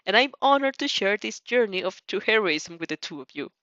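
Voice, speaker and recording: A woman's voice: mid-range voice, expressive, fairly quick, fairly bright tone, no room sound, highs cut off, natural voice. A woman voicing a stiff, earnest teenage boy student in an audio fan-fiction drama, formal and grandiose. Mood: passionate.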